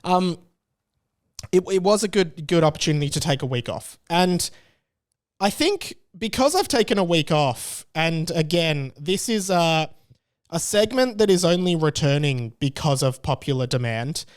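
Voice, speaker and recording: A man's voice: fairly high pitch, lively intonation, unhurried. A man hosting his own podcast, talking casually in a rambling, candid way and often stopping to restart his sentences. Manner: rambling, self-interrupting, candid. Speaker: podcast host. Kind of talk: casual solo podcast chat.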